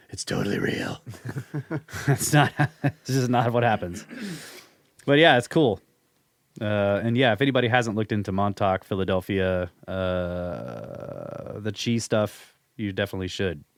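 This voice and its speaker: A man co-hosting a podcast, reading a listener's email aloud and then chatting about it in a relaxed, skeptical way. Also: lowish voice, measured pace, gravelly, no echo, clean studio sound. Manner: laid-back, skeptical, chuckles often. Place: studio.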